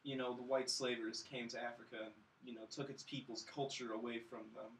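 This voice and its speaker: A man's voice: medium pitch, little pitch movement, brisk, far from the mic, a little hiss or hum, rough recording. A man in casual film-podcast chat, talking in a wry, dryly joking way. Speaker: podcast panelist reviewing films.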